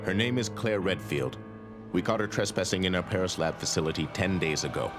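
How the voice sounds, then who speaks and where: Man acting a very deep, stern game officer. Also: a little fast, over music, close to an everyday voice. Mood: stern, matter-of-fact. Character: stern male officer reporting on a captured intruder. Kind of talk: video game cutscene narration. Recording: game audio under loud action soundtrack.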